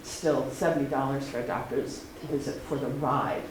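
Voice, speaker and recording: A middle-aged woman, speaking earnestly and haltingly at a public meeting. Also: very deep voice. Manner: earnest, halting, many ums. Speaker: public commenter. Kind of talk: unscripted public comment. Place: community meeting hall. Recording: very echoey hall, faint noise.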